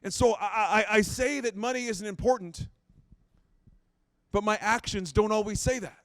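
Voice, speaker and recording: Pastor, late 30s, high clear tenor, dry close mic, chatty and self-deprecating. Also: male voice, moderate pace, fairly bright tone, no echo. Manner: conversational, self-deprecating, repeats phrases. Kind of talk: sermon.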